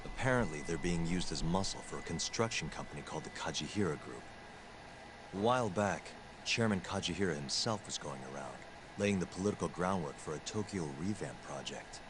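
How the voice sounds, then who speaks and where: A man's voice: low-pitched, animated, a little fast, noisy background. An actor playing a cool-headed male detective in a game, blunt and questioning. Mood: pondering.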